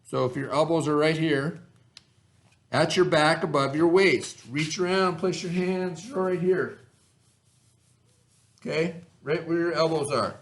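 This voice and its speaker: A man's voice: on the high side, measured pace, pauses often. A middle-aged man reading a lesson aloud to children as their teacher, with simple, instructive explanations.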